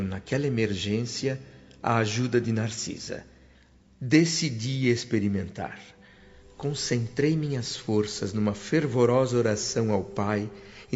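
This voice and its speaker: Long reverb and soft music surround an actor as an earnest Brazilian male spirit telling his own story. He narrates the radio drama solemnly and deliberately in literary phrasing, on a slightly muffled recording. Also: mid-range voice, lightly acted. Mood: earnest, reflective. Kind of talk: scripted first-person radio drama narration. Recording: dull lo-fi audio, long hall reverb. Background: background music.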